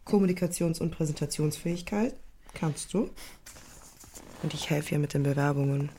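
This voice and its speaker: An actress playing a devoted, anxious young woman podcaster in a naturalistic audio drama, gentle and placating with a hesitant delivery. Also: low-pitched, normal speed, slight background noise. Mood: soft, concerned. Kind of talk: naturalistic German audio drama with inner narration.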